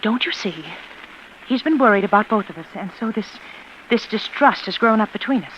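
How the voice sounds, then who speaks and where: Casting: actress for anguished ailing wife, emotionally intense, halting delivery, 1940s radio melodrama with dull hissy sound. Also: female voice, medium pitch, lively intonation, natural voice. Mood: pleading. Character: anguished, ailing former concert pianist wife, desperately insistent. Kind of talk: 1940s radio drama acting. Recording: muffled, noisy old radio broadcast.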